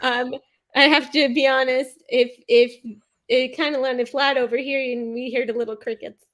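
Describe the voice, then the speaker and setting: High-voiced woman trading playful puns. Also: little pitch movement, moderate pace, fairly bright tone. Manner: playful, hesitant with fillers and giggles. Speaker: pun contest competitor. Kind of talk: quick-fire pun banter.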